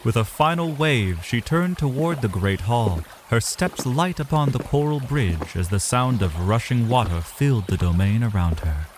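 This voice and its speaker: A man's voice: medium pitch, lively intonation, normal speed, hardly any pauses, a little hiss or hum. A man narrating a scripted fantasy story as a literary, dramatic storyteller.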